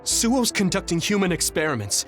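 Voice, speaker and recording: Earnest, higher-voiced young soldier. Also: male voice, very animated, normal speed, music in the background. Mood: earnest, troubled. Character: earnest young male soldier seeking the truth. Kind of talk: video game cutscene acting.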